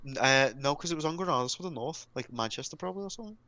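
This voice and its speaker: Fast-talking Englishman, bright and cocky. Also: on the high side, expressive, dry recording. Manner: cocky bantering, swears freely. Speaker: podcast co-host. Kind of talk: casual group chat. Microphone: online voice call.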